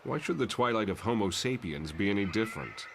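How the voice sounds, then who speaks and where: A very deep-voiced, disillusioned professor acted by a man in a comic drama. He is articulate and brooding, weighing every phrase with self-doubt, over faint noise. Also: expressive, brisk, creaky. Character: disillusioned male mutant professor, weary, self-doubting idealist. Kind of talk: motion comic voice acting.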